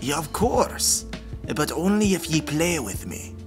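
A man's voice: fairly high pitch, big pitch swings, a little slow. Man playing a hearty, boozy old sailor over music. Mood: leering, jovial. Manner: hearty pirate-like speech. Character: boozy old male sailor, playful and leering. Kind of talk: comedic anime parody dub.

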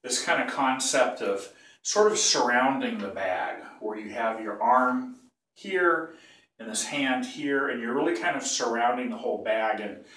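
A man's voice: medium pitch, animated, a little slow, a bit bright, distant mic. Older man with a cold, echoey bathroom. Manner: rambling, self-correcting, throat-clearing. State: has a cold, clearing throat. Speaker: bagpipe teacher. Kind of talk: casual tutorial. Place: small tiled bathroom. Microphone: phone or camera some feet away.

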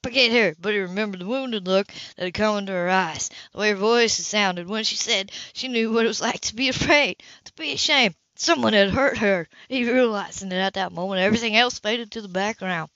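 A woman's voice: mid-range voice, a little fast, hardly any pauses, a bit bright, dry recording. A woman reading a romance novel aloud as an amateur audiobook narrator, sometimes stumbling over the words. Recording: dull, lo-fi home recording.

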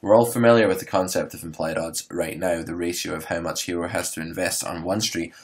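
Poker coach, male, hoarse today, very low voice, loose rambling solo podcast delivery. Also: a little fast. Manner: relaxed, rambling, filler-heavy explaining.

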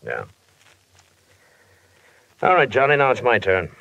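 An actor playing a stern, skeptical police lieutenant who interrogates matter-of-factly in an old radio drama. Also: male voice, mid-range voice, huge pitch swings, vocal fry, pushed and bright, full character voice. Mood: businesslike, skeptical. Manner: brisk, interrogating, matter-of-fact. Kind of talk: 1950s radio drama acting. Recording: muffled 1950s radio broadcast recording.

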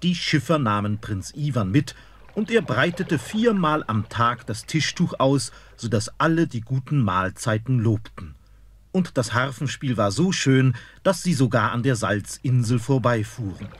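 A male narrator telling a fairy tale with a lively, swinging delivery. His voice has a mid pitch, and faint noise sits underneath it. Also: measured pace. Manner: storytelling, expressive.

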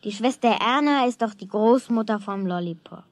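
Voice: mid-range voice, normal speed, no echo, clean studio sound, lightly acted. A performer playing an indignant, outspoken schoolgirl in a children's radio play, blunt and complaining.

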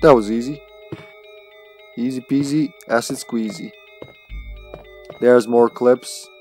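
A man's voice: medium pitch, steady tone, measured pace, dark tone, soft background music. A man, chatting casually and playfully with swearing as he streams a game. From Serbia. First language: Serbian. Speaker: video game livestreamer. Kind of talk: casual live gameplay commentary with chat.

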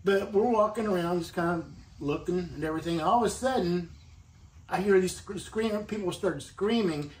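An older man with a fairly high voice tells his story in a rambling way, full of fillers. He sits back from the mic in a roomy home room. Faint noise hums under the clip. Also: moderate pace. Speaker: eyewitness interviewee. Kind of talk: recounting a personal story. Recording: roomy, distant, faintly noisy video clip.

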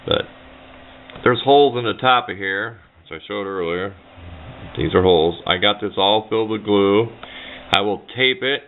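Low-voiced man, tinny narrow recording. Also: moderate pace. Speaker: hobbyist demonstrating a homemade build. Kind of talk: casual unscripted how-to talk. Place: indoors at a workbench. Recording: muffled, narrow phone-like camera audio.

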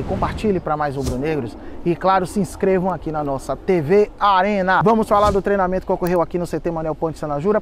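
A man's voice: fairly high pitch, a little hiss or hum. A man in his thirties with a Brazilian accent, hosting an upbeat fan-channel bulletin from an empty stadium, chatty and addressing viewers as family. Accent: Brazilian Portuguese. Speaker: club news host. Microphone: camera at chest framing.

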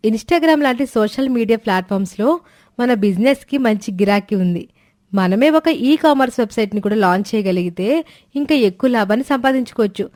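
A woman's voice: on the high side, animated, a little fast, a little mellow, dry recording, close to an everyday voice. Woman playing a practical young daughter-in-law in a story, confident, dry sound. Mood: planning.

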